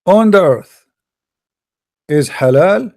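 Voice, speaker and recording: A man's voice: high voice, expressive, slow pace, vocal fry, a little mellow. A man hosting a live debate stream, lecturing in an emphatic, argumentative way. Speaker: livestream debate host. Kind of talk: lecturing religious debate talk.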